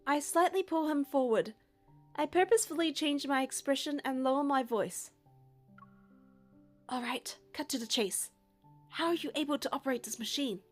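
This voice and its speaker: High-pitched young woman narrating a game. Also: normal speed, pauses often, soft background music. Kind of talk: scripted narration and dialogue read aloud.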